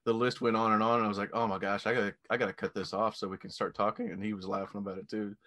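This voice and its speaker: A man hosting a podcast in casual chat, easygoing and quick to laugh, from Missouri, with a low gravelly voice. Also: little pitch movement, a bit bright. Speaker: podcast host.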